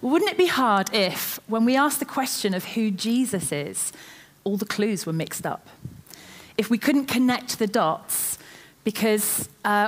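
A woman giving a sermon in church into a microphone, opening with a chatty, self-deprecating story. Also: medium pitch. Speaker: preacher.

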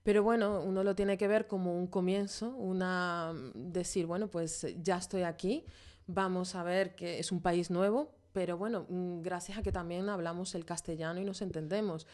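A woman's voice: fairly low pitch, steady tone, moderate pace, a little mellow. A woman from Venezuela, a podcast guest, chatting casually and hesitantly with many fillers. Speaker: podcast guest, graphic designer. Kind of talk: casual interview chat.

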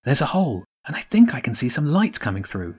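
A man's voice: medium pitch, very animated, fairly quick, a little mellow, slightly rough. A man doing a whispering young girl. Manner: whispering, urgent. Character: young girl, cautious and brave.

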